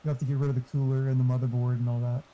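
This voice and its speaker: A man's voice: medium pitch, little pitch movement, racing, warm tone, poor audio. A man chatting casually and giving tech advice, swearing freely as he goes. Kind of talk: casual chat.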